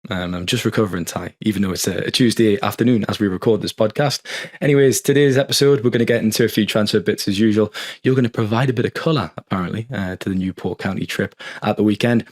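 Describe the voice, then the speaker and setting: An English man hosting a football podcast, friendly and teasing, his voice slightly hoarse. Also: lowish voice, fast talker. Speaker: podcast host. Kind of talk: casual podcast chat.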